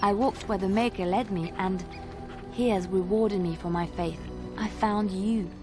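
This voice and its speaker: An actress playing a gentle, devout young cloister sister in a video game, coy and soft-spoken. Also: female voice, medium pitch, steady tone, a little slow, natural voice. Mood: tender. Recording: music underneath. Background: music.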